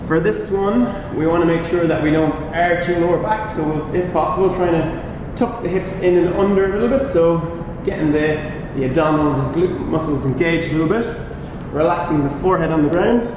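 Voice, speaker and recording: A man's voice: fairly high pitch, a little fast, hardly any pauses. Young man in a very echoey gym. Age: young adult. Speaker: physiotherapist giving exercise instruction. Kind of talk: informal instructional demonstration. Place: large indoor gym studio with wooden floor. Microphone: distant camera microphone. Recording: very echoey, noisy hall, far from mic.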